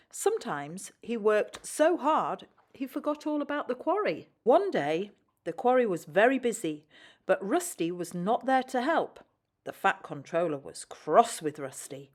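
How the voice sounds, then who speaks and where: A woman reads a children's story aloud with a very lively, varied pitch. Her delivery is expressive, as a storyteller addressing young listeners. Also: unhurried.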